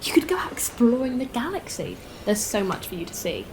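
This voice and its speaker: A woman playing an earnest, outspoken spaceship captain in a science-fiction audio drama, with an expressive delivery. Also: a little high, brisk, in a whisper, rough recording. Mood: warm, teasing.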